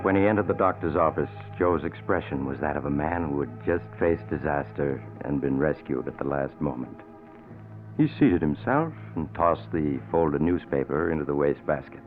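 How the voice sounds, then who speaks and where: An actor playing a sly, ominous mysterious storyteller, narrating a vintage radio suspense drama in a dramatic, deliberate way. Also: male voice, very deep voice. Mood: ominous narration. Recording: old radio recording, muffled narrow band. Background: dramatic music.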